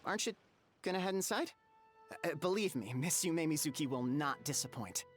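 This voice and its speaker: A man as flustered bathhouse boss, animated delivery. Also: on the high side, normal speed, lightly acted. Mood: polite, reassuring. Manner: flustered, hesitant, deferential. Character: nervous, apologetic, polite young bathhouse owner. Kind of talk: animated video game acting. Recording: background music under voice.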